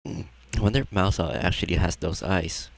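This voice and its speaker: A man's voice: low voice, steady tone, normal speed, vocal fry, no echo. A man giving casual, laid-back gameplay commentary as a livestreamer, reacting as he plays. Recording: faint game music behind. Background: faint game music.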